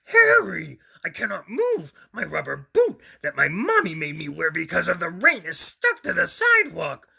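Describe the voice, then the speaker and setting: A man's voice: high-pitched, wildly swooping pitch, normal speed, vocal fry, hardly any pauses, pushed and bright, husky, voice pushed up, exaggerated voice. A man voicing an upset, whiny young boy monster with exaggerated, plaintive complaining for a storybook. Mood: exasperated, whiny.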